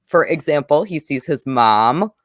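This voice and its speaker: A woman's voice: sits low, expressive, measured pace, faint music behind. A woman hosting a film review podcast, chatting casually with emphatic enthusiasm.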